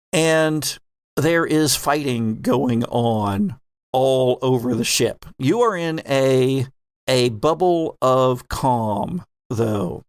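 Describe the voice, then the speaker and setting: A man's voice: mid-range voice, dry recording, clean studio sound. A man hosting as game master, narrating an improvised tabletop roleplaying game. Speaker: game master and podcast host.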